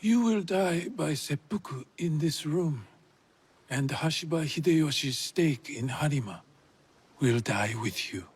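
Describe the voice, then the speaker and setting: An actor playing a cold, scheming samurai lord in a video game, with a theatrical, threatening delivery. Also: male voice, a little high, expressive, a little slow, a little hiss or hum. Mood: coldly menacing. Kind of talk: dramatic video game acting.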